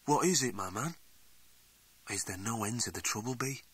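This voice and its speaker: A man's voice: medium pitch, lively intonation, normal speed, breathy edge, no echo. A man voicing a weary, troubled young hero in an audiobook, anxious and urgent.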